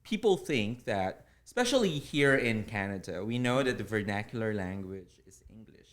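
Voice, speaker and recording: A man with a Filipino accent, a native Tagalog speaker, explaining things earnestly as an interview guest in deliberate, formal phrasing. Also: lowish voice, expressive. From Philippines. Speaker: interview guest, public speaking club officer.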